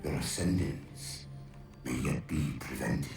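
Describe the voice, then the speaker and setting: A gravelly ancient alien tyrant. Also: male voice, over music, heavily acted. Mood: menacing. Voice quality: deep, gravelly, slow and menacing. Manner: grandiose, contemptuous pronouncements. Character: ancient vengeful male alien warlord, cold and contemptuous. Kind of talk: video game cutscene acting. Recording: cavernous echo, distant, under loud score.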